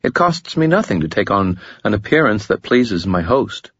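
A man voicing a serene, elegant female demon who speaks formally and with gentle amusement. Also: fairly low pitch, sing-song, moderate pace, husky, dry recording, dull audio, voice pushed up, full character voice. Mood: gently amused.

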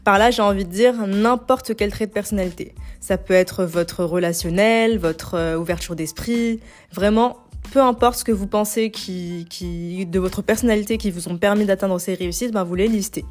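A woman's voice: medium pitch, normal speed, darkish tone, soft background music. A young woman from Algeria hosting a casual self-help podcast, talking informally in youthful slang sprinkled with English loanwords. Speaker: podcast host and image consultant.

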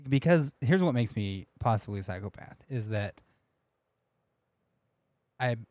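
Casting: young male podcast host, low voice, rambling and laughing, dry close mic. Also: lively intonation, a little slow, darkish tone, dry recording. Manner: rambling, laughing, many restarts. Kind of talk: casual storytelling chat.

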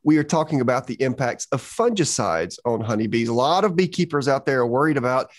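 A man's voice: mid-range voice, lively intonation, a little fast, no room sound, studio quality. A man hosting an interview podcast, upbeat and enthusiastic. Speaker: podcast host.

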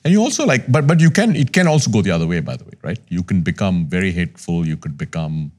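Man, 50s, from Sri Lanka; very low voice, earnest reflective podcast guest. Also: very animated, fast talker, a little mellow. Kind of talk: candid conversational storytelling.

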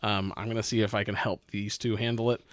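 A man's voice: on the low side, level tone, quick pace, no echo. A man playing a tabletop roleplaying game, chatting informally and slipping casually into in-character speech. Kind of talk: casual improvised roleplay chat.

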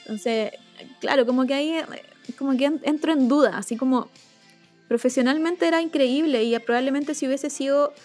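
A woman's voice: sits high, a little fast, a little mellow, soft background music. A Chilean woman co-hosting a casual chat, speaking hesitantly as she thinks aloud. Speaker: co-host of a talk show.

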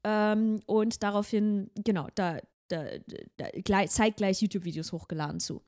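A woman's voice: mid-range voice, fairly even intonation, moderate pace, darkish tone. A young woman co-hosting a podcast in casual chat, rambling with lots of fillers and restarts. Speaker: podcast co-host.

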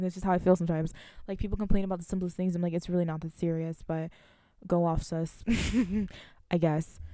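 A woman's voice: on the low side, mellow, clean tone, no room sound, clean studio sound. A woman in her late teens chatting casually to viewers in a rambling, giggly, slangy way, thinking aloud as she goes. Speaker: vlogger, college student. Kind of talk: casual solo chat to viewers.

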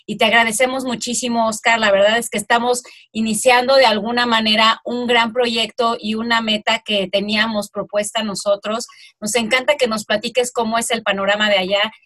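A woman's voice: a little high, flat delivery, a little fast, a bit bright. A woman with a Mexican accent co-hosting an interview in a conversational style. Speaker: interview co-host.

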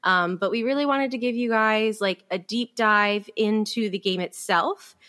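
A young woman hosting a casual podcast, bubbly and quick to laugh. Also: medium pitch, pushed and bright. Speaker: podcast host.

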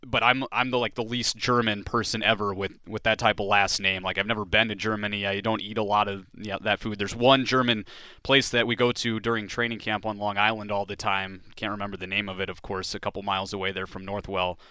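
Male podcast host: upbeat, quick talker, crisp, resonant tone, dry studio sound. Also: on the low side, flat delivery, sharp tone, no echo. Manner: upbeat, quick, chatty with frequent restarts. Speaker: podcast host and play-by-play broadcaster. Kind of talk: conversational sports podcast interview.

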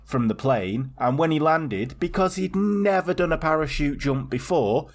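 A man's voice: fairly high pitch, lively intonation, normal speed. Rambling, swearing man. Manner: rambling and swearing, with many restarts. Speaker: podcast co-host. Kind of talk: casual chat.

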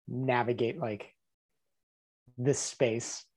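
A man hosting a casual interview asks rambling, halting questions. He restarts often and leans on fillers as he works his way toward what he wants to ask. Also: mid-range voice, steady tone. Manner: hesitant, many restarts and fillers.

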